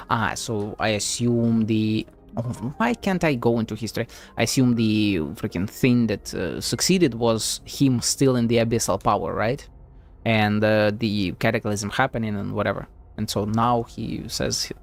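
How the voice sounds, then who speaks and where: A man's voice: lowish voice, vocal fry. A man streaming a game and giving casual live reactions, slangy and sweary as he thinks aloud.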